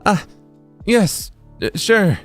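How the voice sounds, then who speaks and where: Café helper for a visual novel: higher-pitched, hesitant, earnest, gentle and eager male performer putting it on. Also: sing-song, drawn-out words, soft background music, heavily acted.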